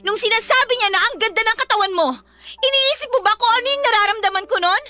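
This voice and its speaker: Furious, high-pitched jealous young girlfriend. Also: quick pace, sharp tone, no room sound. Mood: hurt, angry. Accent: Filipino. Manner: confrontational, scolding, emphatic rhetorical questions. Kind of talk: Filipino radio drama acting.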